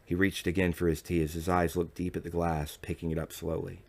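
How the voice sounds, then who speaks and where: Male audiobook narrator, deep register, measured dramatic delivery for first-person fiction. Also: level tone, creaky, slight background noise.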